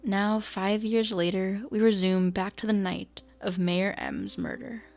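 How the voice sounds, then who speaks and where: A woman's voice: medium pitch, animated, unhurried, a little mellow, faint music behind. A woman narrating a radio drama in a scripted storytelling style.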